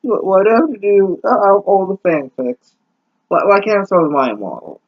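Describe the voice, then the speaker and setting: Exasperated man with animated, swooping pitch. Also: moderate pace, highs cut off. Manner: exasperated, sarcastic, sweary. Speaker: host of a bad-fanfiction reading series. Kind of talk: mocking dramatic reading with asides.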